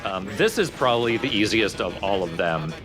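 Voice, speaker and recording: A man with a fairly low voice gives casual gameplay commentary as host. Game music plays clearly behind him. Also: lively intonation, normal speed. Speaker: let's play host and player. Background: video game music and effects.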